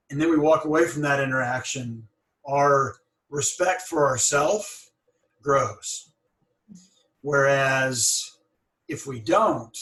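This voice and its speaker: A man's voice: mid-range voice, a bit bright. Man who stutters, talking in a roomy space. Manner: stutters, with restarts and repeated words; thoughtful, encouraging. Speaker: podcast host. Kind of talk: conversational interview. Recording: roomy, a bit far from the mic.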